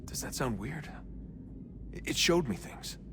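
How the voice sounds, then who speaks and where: A man's voice: mid-range voice, expressive, a little slow, noisy background, natural voice. An actor with an American accent playing a troubled, earnest young man in a video game, speaking in a hesitant, understated way. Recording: music behind the voice.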